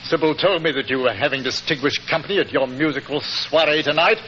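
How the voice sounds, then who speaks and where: On a hissy, muffled old radio recording, a male actor plays a haughty British aristocratic villain. His voice is sharp and fairly high, dripping with sarcasm and contempt. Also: fast talker, lightly acted. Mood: smug. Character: arrogant, sneering upper-class English villain, bullying scoundrel. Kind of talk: 1940s radio acting.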